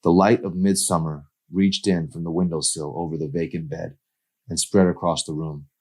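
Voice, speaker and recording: A man reading his own novel aloud as an author, in an expressive audiobook-style narration. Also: low-pitched, moderate pace, slightly rough.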